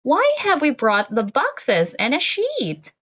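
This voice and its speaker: A woman voicing eager, playful children from a storybook with excitable phrasing. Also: sits high, slow pace, dull audio, exaggerated voice. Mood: excited, curious.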